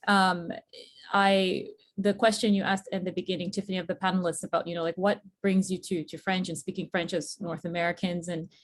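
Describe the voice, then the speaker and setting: A woman's voice: fairly low pitch, flat delivery, fairly quick. Reflective woman on a video call. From Zambia. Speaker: panelist. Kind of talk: casual panel discussion.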